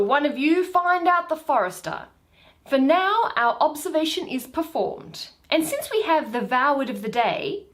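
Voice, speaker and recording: A proud, commanding duke, acted out by a woman, declaiming Shakespearean verse. The voice is high-pitched and highly animated, stressing words emphatically at an unhurried pace. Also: heavily acted. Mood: brisk command. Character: noble male duke, proud hunter, commanding.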